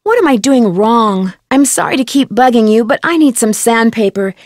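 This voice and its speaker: Woman voicing curious young girl detective for a video game, highish voice, polite and earnest, dry close sound. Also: lively intonation, normal speed, dry recording, natural voice. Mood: earnest, inquiring. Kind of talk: scripted adventure game dialogue.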